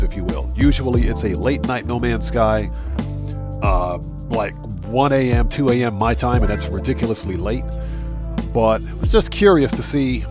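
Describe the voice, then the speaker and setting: A man hosting a gaming live stream, greeting viewers by name in a casual, friendly way, sounding a bit nasal from a cold. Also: medium pitch. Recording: music playing under the voice. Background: background music.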